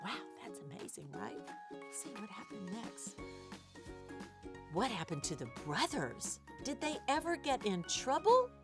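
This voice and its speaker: A woman's voice: medium pitch, huge pitch swings, a little slow, music in the background. A woman hosting an interactive read-aloud for young children, exaggerated and questioning as she addresses listeners directly. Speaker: children's storytime host.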